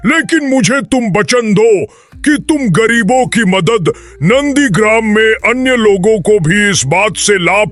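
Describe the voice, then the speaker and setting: Man voicing cartoon hermit sage, high-pitched, bright, solemn, stern, grand drawn-out pronouncements. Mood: commanding. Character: stern hermit sage granting boons in the forest. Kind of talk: Hindi cartoon moral-tale voice acting.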